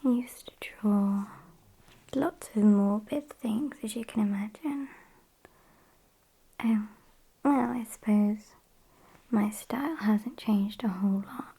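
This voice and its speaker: A British woman voicing a sassy, morbid female vampire in a soft, breathy whisper for an ASMR roleplay. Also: mid-range voice. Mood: morbid, fussy. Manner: slow, hushed, matter-of-fact, mildly scornful. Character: sassy, morbid female vampire kidnapper tending her victim. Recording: hissy close-up whisper recording.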